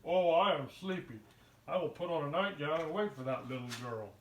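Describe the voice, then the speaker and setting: A man's voice: fairly high pitch, normal speed, a little hiss or hum, close to an everyday voice. A man playing a sly wolf who pretends to be sweet, in a puppet show. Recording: echoey room, distant from mic.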